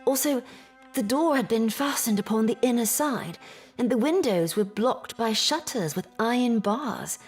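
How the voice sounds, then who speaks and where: An actress playing a troubled young gentlewoman in an audio drama, telling her story in a measured, formal, earnest way. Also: on the high side, lively intonation, breathy edge, faint music behind. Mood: sorrowful, earnest.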